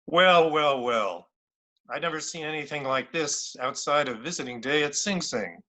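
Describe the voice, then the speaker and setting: A man's voice: a little high, moderate pace, sharp tone. A man playing a tough, sarcastic police lieutenant, commanding and mocking, in an amateur radio play. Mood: sardonic. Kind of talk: amateur old-time radio play acting.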